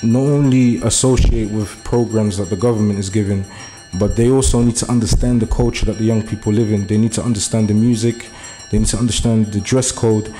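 A man's voice: on the low side, steady tone, brisk, hardly any pauses, warm tone, faint music behind. A young man from Germany giving an earnest public speech at a meeting, hesitant and full of fillers at first, then building to urgent appeals. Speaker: community speaker. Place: meeting room.

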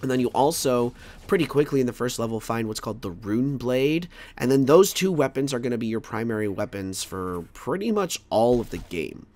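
A man's voice: medium pitch, expressive, brisk, a little mellow. A man with a cold casually reviewing a video game, rambling and stammering, with easy laughter. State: fighting a cold.

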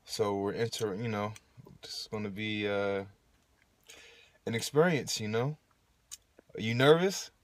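A man in his thirties hosting a casual phone livestream from inside a parked car, relaxed and chatty, laughing often and using lots of fillers. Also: fairly low pitch, unhurried, long pauses, no room sound. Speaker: livestream host. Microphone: phone held at arm's length.

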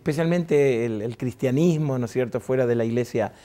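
A middle-aged man speaks Spanish with an Argentine accent, joking and laughing as he tells his story. His voice sits at an ordinary male pitch. He sounds clean and close through a lapel mic on a TV studio set. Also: brisk, mellow. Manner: jovial, laughs often, chatty with restarts. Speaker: pastor and guest. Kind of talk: relaxed interview storytelling.

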